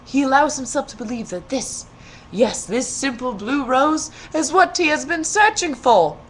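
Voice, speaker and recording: A woman's voice: high voice, animated, moderate pace, a little hiss or hum, low-quality recording. A woman storyteller reading a fairy tale aloud in a playful, theatrical way, with casual humor.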